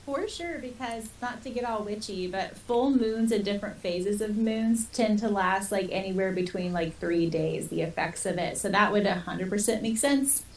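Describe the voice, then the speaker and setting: A woman's voice: mid-range voice, moderate pace, few pauses, slight background noise. A woman chatting casually as a podcast co-host. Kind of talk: casual chat. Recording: distant from the microphone.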